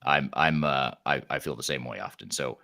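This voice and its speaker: Male podcast host, very low and bright, quick delivery. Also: creaky, dry recording, studio quality. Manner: quick, upbeat, with fillers and restarts. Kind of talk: live interview.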